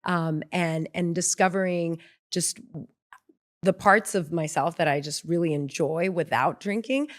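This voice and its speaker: A woman's voice: on the low side, unhurried. A woman from Mexico, a guest founder talking casually in an interview, with frequent ums and restarts. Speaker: guest, beverage brand founder.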